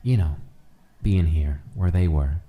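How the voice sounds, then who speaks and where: A man plays a guarded young skeleton brother in a dramatic comic dub. The voice is lowish and very dark, with a subdued, hesitant and terse delivery. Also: measured pace. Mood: subdued concern.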